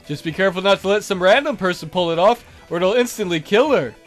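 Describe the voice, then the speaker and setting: A man's voice: high voice, fast, voice pushed down, lightly acted. A bookish curse expert played by a man in a visual novel game. The voice is slightly raised and matter-of-fact, giving ominous explanations over music. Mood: cautioning.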